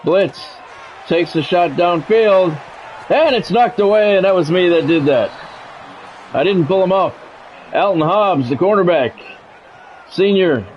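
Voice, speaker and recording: A man's voice: sits high, animated, unhurried, pauses often, slight background noise. Dull-sounding man calling football play-by-play. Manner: radio-announcer phrasing with casual asides. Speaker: streamer doing play-by-play. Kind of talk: sports play-by-play commentary. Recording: dull, lo-fi audio with music behind.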